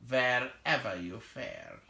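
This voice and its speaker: Man as a slow, solemn dwarf, echoey. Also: mid-range voice, huge pitch swings, vocal fry, bright, forceful tone, exaggerated voice. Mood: moved, solemn. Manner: slow, halting, formal. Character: solemn, hospitable male dwarf. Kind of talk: storybook character voice.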